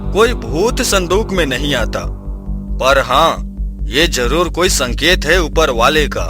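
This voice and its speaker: A man playing a poor, honest village father in an animated moral story, earnest, humble and a little wary. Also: a little high, slow, over music. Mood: reassuring, sincere.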